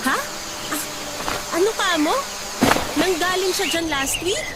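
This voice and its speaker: A woman's voice: extremely high pitch, expressive, unhurried. A Filipino woman playing a patient, caring young live-in partner in a radio drama. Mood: surprised, guarded. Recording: loud ambient noise, birds and traffic.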